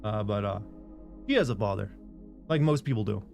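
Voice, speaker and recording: A man's voice: fairly low pitch, animated, normal speed, creaky, darkish tone, soft background music. A man streaming a game, chatting casually and reading out viewer messages, rambling and making self-deprecating jokes.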